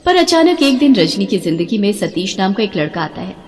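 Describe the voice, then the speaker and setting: A woman's voice: medium pitch, sing-song, brisk, darkish tone, in a large hall. A woman narrating a scripted dramatic story.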